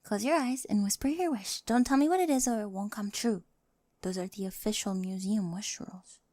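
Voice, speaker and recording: A woman playing a quirky, nerdy high school girl with a crush, in an ASMR roleplay with awkward, eager rambling and whispery asides. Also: a little fast, warm tone, dry recording, natural voice. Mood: soft, sincere. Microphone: close microphone, dry.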